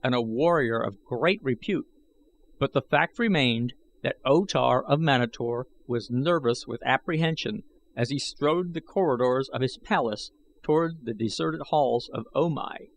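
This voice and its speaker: A man's voice: mid-range voice, unhurried. A man reading an adventure novel aloud as scripted audiobook narration.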